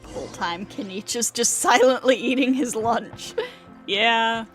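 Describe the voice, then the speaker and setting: A woman's voice: fairly high pitch, a little slow, a bit bright, soft background music. A woman game streamer giving casual, playful livestream commentary. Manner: playful, reacting.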